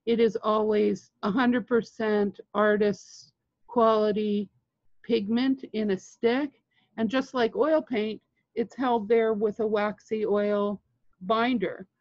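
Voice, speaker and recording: A woman in her sixties talks through an art technique informally. She speaks slowly, in short halting phrases with long pauses. The sound is dry and slightly dull, like a lo-fi webcam recording. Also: mid-range voice, a little mellow, dry recording. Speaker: colored pencil artist demonstrating techniques. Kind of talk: informal art tutorial talk. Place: indoors, home studio room with framed art.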